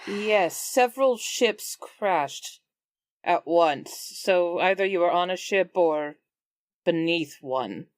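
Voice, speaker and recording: A woman's voice: fairly low pitch, animated, takes it slowly, dry recording, clean studio sound. A woman playing a kindly, helpful adventurer in a tabletop game, plain-spoken and reassuring. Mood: matter-of-fact.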